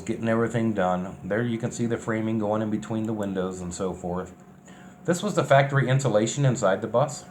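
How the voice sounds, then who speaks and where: A man giving casual, unscripted narration over DIY build footage in a folksy, colloquial way. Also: lowish voice. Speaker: vlogger recapping a DIY bus conversion. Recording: clear steady background noise, ambience.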